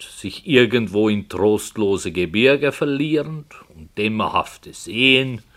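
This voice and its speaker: A man playing a brooding writer in a radio play, solemnly and hesitantly telling a dark tale with earnest, dramatic emphasis. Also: on the low side, heavily acted. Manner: slow, hesitant, earnest, dramatic swells and hushes. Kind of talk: 1960 German radio play, literary storytelling.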